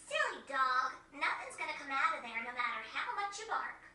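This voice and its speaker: A woman's voice: high voice, sing-song, moderate pace, pushed and bright, full character voice. A woman voicing a cheeky, know-it-all young child in a video game, with a teasing, exaggerated delivery. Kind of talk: animated video game dub acting. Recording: echoey, distant, noisy background.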